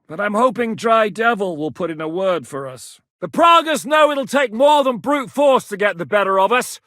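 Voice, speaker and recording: A barking, foul-mouthed war commander. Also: male voice, high voice, lively intonation, normal speed, sharp tone, no room sound, clean studio sound. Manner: barking orders, coarse and blunt. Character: gruff battle-hardened male war commander. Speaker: military commander in a video game. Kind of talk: game voice lines.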